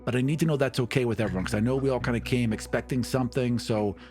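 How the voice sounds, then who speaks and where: Male game master, mid-pitched, informal and conversational, improvising scenes over faint music. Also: fairly even intonation, quick pace, a little mellow. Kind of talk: improvised tabletop roleplay and scene narration.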